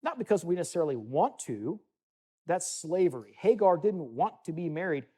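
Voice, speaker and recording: Man, Bible teacher, conversational sermon with asides, dark mellow timbre. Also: fairly high pitch, very animated, normal speed, husky. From United States. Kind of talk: teaching sermon with scripture reading. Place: meeting room with listeners.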